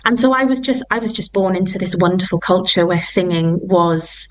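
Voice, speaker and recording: A young woman talks eagerly about her life as a performer, circling back over her words as she goes. Her voice sits a little low for a woman. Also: brisk, poor audio. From Wales. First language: Welsh. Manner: enthusiastic, rambling with restarts. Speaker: musical theatre performer and singer. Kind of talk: interview.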